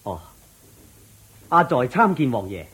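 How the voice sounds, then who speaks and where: Husky, humble poor musician. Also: male voice, medium pitch, big pitch swings, measured pace, fairly bright tone, exaggerated voice. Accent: Hong Kong Cantonese. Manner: meek, pleading. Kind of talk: radio drama acting.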